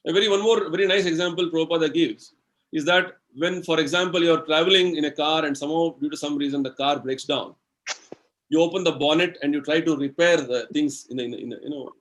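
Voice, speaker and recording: A man with an Indian English accent explaining spiritual ideas in a devotional lecture, slow and explanatory, using everyday examples and many "you know"s. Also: on the high side, a bit bright, rough recording. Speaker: spiritual speaker answering questions.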